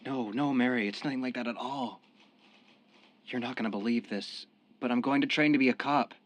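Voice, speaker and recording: A man's voice: mid-range voice, fairly quick, natural voice. An actor in a radio drama playing a young former gangster, repentant and devoted to his sweetheart. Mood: earnest. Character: young ex-mob tough, repentant, devoted expectant father.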